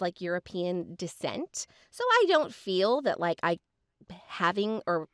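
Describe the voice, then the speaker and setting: A woman's voice: mid-range voice, a bit bright, clean tone, no room sound, clean studio sound. A woman hosting a podcast, chatting effusively with lots of fillers. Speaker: podcast host. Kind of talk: casual podcast chat.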